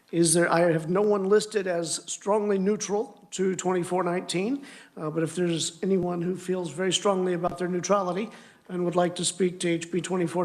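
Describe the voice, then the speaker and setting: A man with a notably high voice chairs a legislative hearing. He moves briskly through the testimony list with casual, offhand remarks. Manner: brisk, informal procedural patter. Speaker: committee chair.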